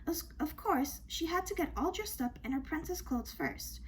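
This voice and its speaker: A woman reads a children's storybook in a high-pitched, very animated voice. She is expressive and dramatic, leaning on questions and little asides. There is some noise behind her. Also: normal speed. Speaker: storybook reader. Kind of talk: expressive children's read-aloud.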